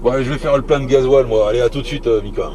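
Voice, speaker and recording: A man's voice: medium pitch, fast talker. A man chatting casually on CB radio while driving, hesitant and often repeating himself. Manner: hesitant, repetitive phrasing. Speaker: CB radio operator, channel host. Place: inside a vehicle on the road. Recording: noisy vehicle cabin, CB radio ambience.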